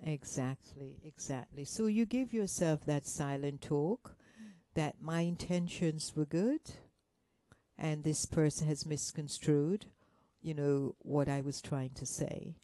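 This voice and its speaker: A woman giving an interactive motivational talk, gently coaxing her listeners with questions and endearments. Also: low voice, takes it slowly, no room sound. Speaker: workshop speaker.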